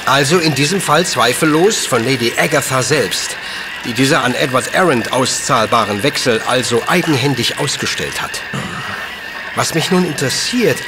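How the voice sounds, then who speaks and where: An actor playing a brilliant, pedantic professor detective in a German audio drama, speaking in precise, formal, commanding sentences. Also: male voice, medium pitch, moderate pace, loud music behind the voice, close to an everyday voice, rough recording. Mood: matter-of-fact, analytical.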